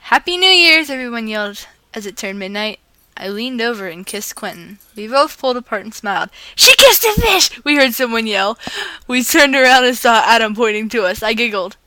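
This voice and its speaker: Girl reading fanfic out loud, bright voice, kinda playful with the dialogue, dry close sound. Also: a little high, sing-song, moderate pace, no echo. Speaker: fan fiction reader and video host. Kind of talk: dramatized reading aloud of fan fiction.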